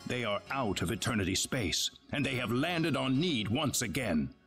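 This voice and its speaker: A grave male leader from a fantasy video game, formal and courteous. His mid-pitched voice moves expressively as he explains things in measured phrases. Music plays behind him. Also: animated, fairly bright tone. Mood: solemn, urgent. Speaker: voice actor in a fantasy role-playing game. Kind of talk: dramatic video game dialogue.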